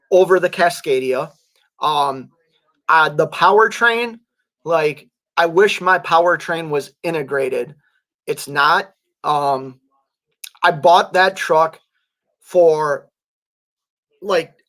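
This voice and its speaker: Slightly high-voiced man, voice going hoarse. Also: a bit bright. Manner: halting, clears throat often, many fillers. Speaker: truck driver and livestream host. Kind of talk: casual livestream chat reading viewer comments.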